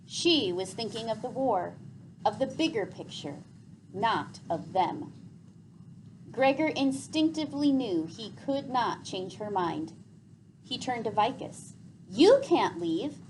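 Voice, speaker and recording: A woman reads aloud as a teacher, with very lively intonation. Her pace is a little slow and her phrasing explanatory, over faint room noise. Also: fairly high pitch, low-quality recording. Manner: clear explanatory phrasing, repeats pupils' answers. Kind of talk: expressive read-aloud with class questions. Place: classroom. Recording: faint classroom background noise.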